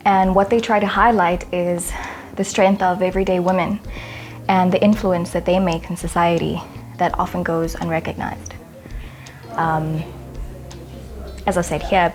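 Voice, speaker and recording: A woman reflecting candidly as an interviewee, in a measured way. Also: on the low side, vocal fry. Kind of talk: personal reflection to camera.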